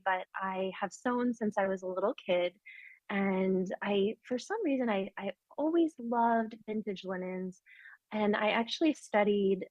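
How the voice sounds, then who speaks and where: A woman's voice: medium pitch, a little mellow, no room sound, lo-fi sound. An American woman, a business founder, talking in a friendly, rambling way as a podcast guest over a remote call. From United States. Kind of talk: casual interview talk.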